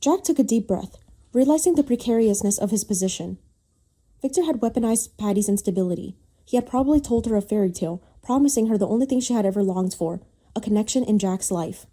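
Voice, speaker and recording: Woman reading a dramatic story, really mellow dark tone, scripted narrator stuff. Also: mid-range voice, fast, husky.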